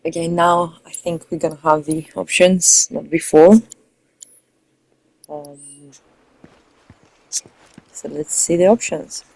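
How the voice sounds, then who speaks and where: A woman giving casual let's play commentary in a relaxed, musing way. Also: lowish voice, slow pace, creaky, long pauses, no echo, low-quality recording. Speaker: game streamer.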